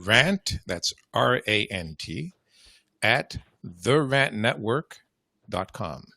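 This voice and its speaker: A man's voice: lowish voice, big pitch swings, slow pace, vocal fry, bright, forceful tone, no room sound, studio quality. A man co-hosting a live podcast, easygoing and agreeable. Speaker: podcast co-host. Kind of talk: casual live podcast chat.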